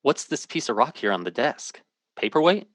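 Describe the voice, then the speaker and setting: An actor playing an anxious, determined family man searching for his brother in a radio drama, speaking in an earnest, halting, questioning way. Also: mid-range voice, sing-song, fairly bright tone, slight background noise. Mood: puzzled, searching. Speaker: protagonist and narrator.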